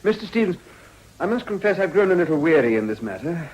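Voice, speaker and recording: Courteous, weary gentleman politician, urbane, formal, widely varied pitch, period radio drama. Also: sing-song, fast, slight background noise, poor audio. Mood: formal, reserved.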